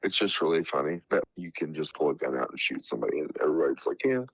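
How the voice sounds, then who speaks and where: A man co-hosting a casual pop culture podcast, sounding stuffy from a cold, relaxed and laid-back. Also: a bass voice, fast. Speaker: podcast co-host. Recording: slightly dull dry audio.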